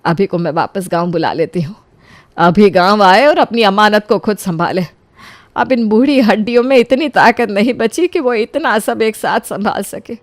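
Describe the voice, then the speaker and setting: A woman's voice: medium pitch, normal speed, dry recording, lightly acted. A woman playing a wronged elderly mother-in-law in a dramatic audio story, speaking solemnly and with emotional emphasis. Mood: resolute, regretful.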